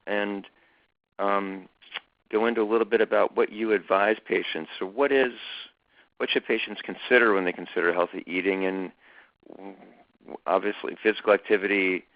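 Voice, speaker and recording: A man hosting a conversation as foundation chairman, speaking in a measured, hesitant way with many fillers. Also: fairly low pitch, steady tone, no room sound. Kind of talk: conversational webinar talk. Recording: dull lo-fi dry recording.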